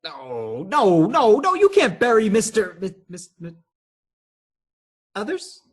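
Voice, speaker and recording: Frantic nephew, male actor, radio stage comedy; rough-edged voice, flustered, exclamatory, highly animated. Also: slow, exaggerated voice. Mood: frantic alarm. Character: frantic, appalled nephew of two elderly aunts.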